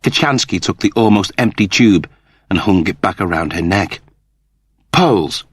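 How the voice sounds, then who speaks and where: Male storyteller for audiobook narration: lowish voice, animated scripted reading, dry close sound. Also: moderate pace, breathy edge, dry recording.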